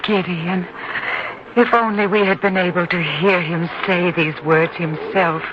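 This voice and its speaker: A woman's voice: on the low side, steady tone, measured pace, close to an everyday voice. A woman playing a devout, peacemaking middle-aged mother in an old-time radio drama, with an earnest theatrical delivery. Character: Sarah, devout gentle peacemaking mother of a farmer. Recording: dull lo-fi radio sound, faint noise.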